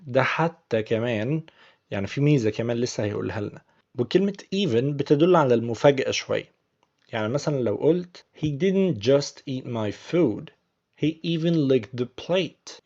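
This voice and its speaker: A man's voice: mid-range voice, darkish tone. Male teacher with an Egyptian Arabic accent, patient and explanatory, muffled recording. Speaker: English teacher. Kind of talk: explaining English phrases in Arabic.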